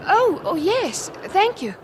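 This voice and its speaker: A woman's voice: very high-pitched, sing-song, takes it slowly, exaggerated voice. A woman playing an earnest, devout British spinster housemaid, dreamy and apologetic, in an audio drama. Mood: flustered. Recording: noisy ambience behind the voice.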